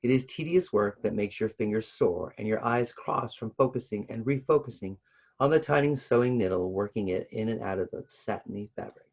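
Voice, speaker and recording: A man's voice: lowish voice, brisk, vocal fry. A man reads a story aloud expressively, now and then stumbling over a word and adding casual asides. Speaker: co-host reading a story aloud.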